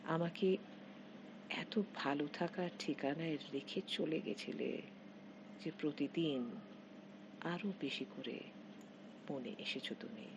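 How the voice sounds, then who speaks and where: A woman narrating a scripted literary short story in measured, expressive phrases with pauses. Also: low-pitched. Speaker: author reading own short story. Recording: dull lo-fi audio with heavy noise.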